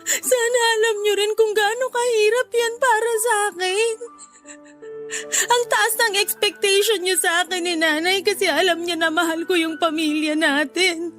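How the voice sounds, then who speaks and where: Radio drama daughter, played by a woman: young, extremely high voice, weary, hurt, tearful pleading, faint music underneath. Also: fairly even intonation, moderate pace, fairly bright tone. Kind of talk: Filipino radio drama acting.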